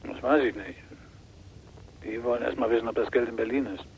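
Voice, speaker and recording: Muffled noisy phone call, tired anxious man, gravelly, hesitant, radio crime drama. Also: medium pitch, steady tone. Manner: halting, hesitant. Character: Ralph Thurlow, weary, anxious man relaying kidnappers' demands. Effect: telephone voice. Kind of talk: German radio play acting. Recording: dull, noisy telephone line.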